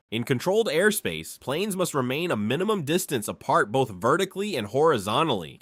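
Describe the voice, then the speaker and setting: A man reading scripted explainer narration in a measured, clearly phrased way. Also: a little high, a bit bright, no echo.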